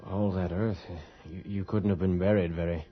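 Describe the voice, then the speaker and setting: An actor playing an earnest, persistent investigator in a radio horror drama, educated and polite, with hesitant, articulate questioning. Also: male voice, deep voice, steady tone, moderate pace. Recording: dull lo-fi radio recording.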